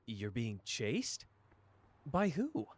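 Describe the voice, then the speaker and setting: A man doing a slow, flirtatious charmer. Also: medium pitch, huge pitch swings, slightly rough, full character voice.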